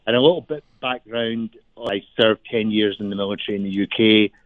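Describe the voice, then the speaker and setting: A man's voice: on the low side, steady tone, a little fast, no echo. A middle-aged man with a Glaswegian Scottish accent, a distiller guest explaining his story in a radio interview. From Scotland. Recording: phone-line call, dry.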